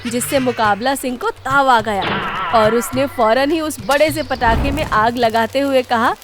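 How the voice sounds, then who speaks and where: A woman's voice: sits high, unhurried. A woman narrating a scripted comic story for a cartoon, in the role of storyteller.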